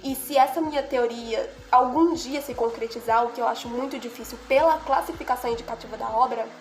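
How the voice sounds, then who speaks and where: A woman's voice: fairly high pitch, brisk, soft background music, low-quality recording. A young woman with a cold and a nasal voice, giving an earnest, heartfelt anime analysis in casual talk, often asking "right?" and "you know?". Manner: earnest, heartfelt, repeats "né?" and "sabe?". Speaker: video creator analyzing anime.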